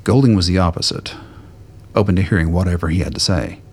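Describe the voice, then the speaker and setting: A man's voice: low voice, lively intonation, fast, breathy edge. A man reading scripted narration for a radio drama.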